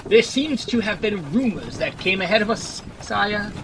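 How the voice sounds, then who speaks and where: A man's voice: sits high, expressive, normal speed, noisy background. A man playing an anxious but loyal young soldier scout in a fantasy audio drama, reporting formally and respectfully. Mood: nervous, hesitant.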